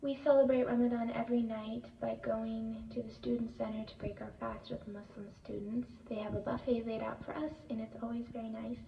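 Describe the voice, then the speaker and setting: A young woman with a higher, gravelly voice introduces herself simply and cheerfully. She sounds off-mic in an echoey room, the audio dull with faint noise. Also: level tone, a little fast, hardly any pauses, recorded from a distance. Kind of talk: self-introduction video.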